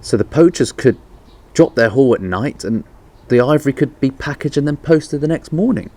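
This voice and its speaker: A man reading the part of a sensible, reasoning aunt in a children's storybook, with brisk, logical emphasis. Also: medium pitch, lively intonation, dark tone, voice pushed up.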